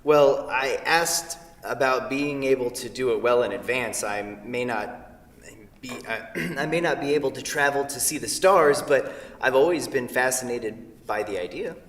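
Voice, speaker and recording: Man acting an awkward freelance writer, medium pitch, dry, hesitant, defensive, stage play reading, far from the mic, long hall reverb. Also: normal speed, fairly bright tone, natural voice. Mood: hesitant, wry. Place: theatre, recorded from a distance. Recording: distant mic in echoey hall.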